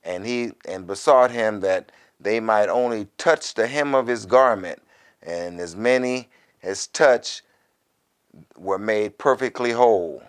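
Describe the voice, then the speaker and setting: Slow-speaking, clear-voiced male minister. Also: fairly low pitch. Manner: deliberate, preacherly, with quieter conversational asides. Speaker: minister, Bible teacher. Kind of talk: scripture reading with informal sermon commentary.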